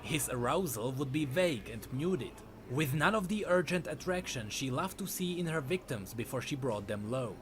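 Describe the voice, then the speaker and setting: A man narrating a dark fantasy story from a script in a dramatic storytelling style. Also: mid-range voice, lively intonation, normal speed, hardly any pauses, a little hiss or hum. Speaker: lore narrator.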